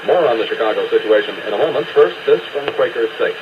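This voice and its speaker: A man's voice: medium pitch, lively intonation, fast. A man with an American accent reading a scripted 1960s network radio newscast, on an old noisy taped broadcast. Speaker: radio news anchor. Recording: muffled noisy 1969 radio cassette recording.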